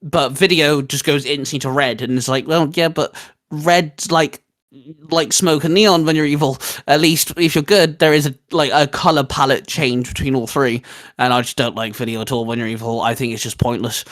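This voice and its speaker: A man chatting casually and giving emphatic opinions as a co-host, with halting, repetitive phrasing. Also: medium pitch, fairly even intonation, fairly bright tone. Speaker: co-host ranking video game powers.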